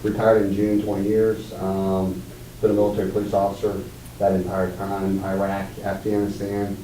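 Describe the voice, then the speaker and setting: Low, flat-toned man in an echoey room. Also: normal speed. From Greenville, Pennsylvania. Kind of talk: plain self-introduction. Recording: echoey room, distant mic, faint noise.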